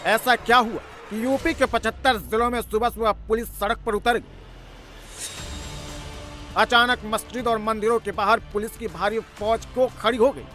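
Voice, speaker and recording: Very high-pitched, bright male news narrator. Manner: emphatic, rhetorical delivery. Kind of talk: dramatic scripted news narration.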